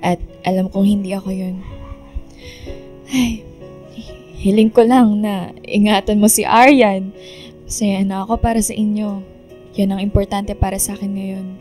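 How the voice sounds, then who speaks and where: A woman's voice: mid-range voice, level tone, unhurried, long pauses, mellow, faint music behind, close to an everyday voice. A woman playing a remorseful, self-sacrificing young woman, apologetic and earnest, in radio drama dialogue. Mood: tender resignation.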